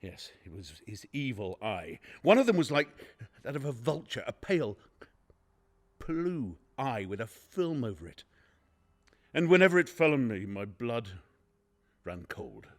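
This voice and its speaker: A nervous, obsessive madman in a gothic radio play. He speaks slowly and haltingly, with a stammering edge. His pitch swings dramatically between hushed secrecy and outburst. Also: big pitch swings. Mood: brooding. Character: nervous, unhinged madman confessing a murder obsession. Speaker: narrator actor in a radio play.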